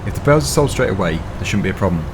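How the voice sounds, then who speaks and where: Chatty man, slightly hoarse. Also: fairly low pitch, animated, very fast, noisy background. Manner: chatty, thinks aloud with fillers. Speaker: gaming channel host. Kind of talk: casual gameplay commentary.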